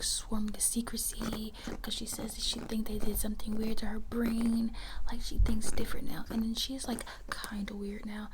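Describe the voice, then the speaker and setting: A woman telling a casual story in a breathy ASMR whisper, repeating phrases for effect. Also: fairly high pitch. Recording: lo-fi, loud noise, clicks.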